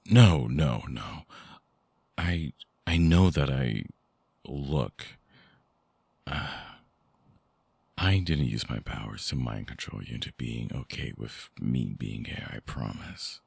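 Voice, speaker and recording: Man playing a very deep, gravelly vampire lover. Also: animated, no room sound, full character voice. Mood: flustered, pleading. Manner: slow, halting, softly explanatory. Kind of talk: intimate ASMR roleplay audio drama.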